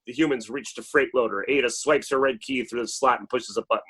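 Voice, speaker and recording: A man's voice: mid-range voice, quick pace, fairly bright tone, slightly rough. Man narrating screenplay stage directions. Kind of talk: scripted narration at an informal table read.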